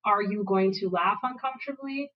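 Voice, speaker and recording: A woman's voice: medium pitch, normal speed, dull audio. Passionate woman, Bengali first language. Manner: passionate, emphatic, tells personal examples. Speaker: guest, diversity executive. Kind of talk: podcast interview.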